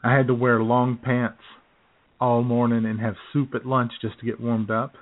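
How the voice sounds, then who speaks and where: A man's voice: mid-range voice, little pitch movement, normal speed. Laid-back male radio host, tinny sound. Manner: laid-back, chuckling banter. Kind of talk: casual radio chat. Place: home studio in a small town. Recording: tinny phone-like line.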